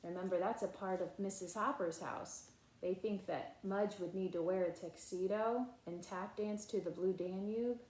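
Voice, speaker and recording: A woman reading a children's picture book aloud in an expressive, emphatic way, with casual asides. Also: mid-range voice, normal speed. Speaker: read-aloud storyteller. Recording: echoey room.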